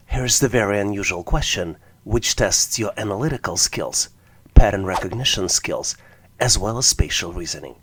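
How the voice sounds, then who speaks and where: Guy with a lowish raspy voice explaining test questions step by step, like an online instructor. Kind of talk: explanatory tutorial narration.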